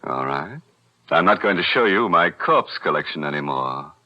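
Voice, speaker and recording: An actor playing a mock-sinister, tongue-in-cheek horror host on a 1940s radio show. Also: male voice, sits low, animated, moderate pace. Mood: gleefully macabre. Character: ghoulish, gleefully punning male horror host. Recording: muffled old radio recording, music behind.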